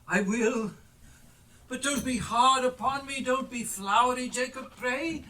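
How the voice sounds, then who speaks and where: A man's voice: extremely high pitch, unhurried, pushed and bright, full character voice. A man on stage playing a skeptical, blustering old miser who is easily frightened, in theatrical style. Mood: pleading. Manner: blustering, stammering when frightened. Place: theatre stage, recorded live. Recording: distant live stage play recording.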